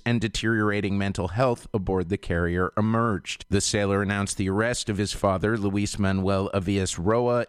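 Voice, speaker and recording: A man reading radio news headlines as the anchor, in a measured, even delivery on a close studio mic. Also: lowish voice, smooth, no echo.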